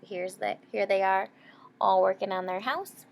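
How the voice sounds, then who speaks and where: A woman's voice: mid-range voice, fairly even intonation, unhurried, gravelly. A woman storyteller reading a children's picture book aloud in a teacherly way, explaining as she goes. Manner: checks in with listeners using short questions.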